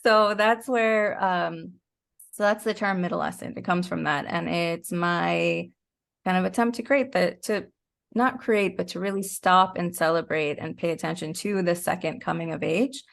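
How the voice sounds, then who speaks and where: A woman with a fairly low voice and plenty of vocal fry talks as a podcast guest. She is middle-aged and explains things in a reflective, conversational way, lightly amused as she goes. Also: unhurried. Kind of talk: casual interview conversation.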